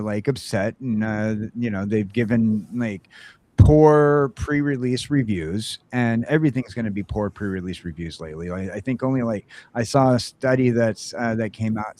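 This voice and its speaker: A man's voice: lowish voice, a little mellow. A man on a casual podcast chat, rambling and filling his talk with "like" and "uh.". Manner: rambling, lots of "like" and "uh". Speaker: podcast co-host or guest.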